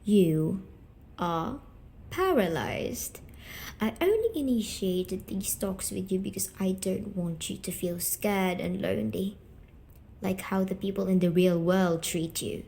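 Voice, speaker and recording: A woman voicing a gentle, wounded female sleep paralysis demon in an ASMR roleplay, coaxing softly and deliberately with breathy half-whispers. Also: medium pitch, a little hiss or hum. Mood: pleading, commanding. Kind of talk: slow ASMR storytelling roleplay.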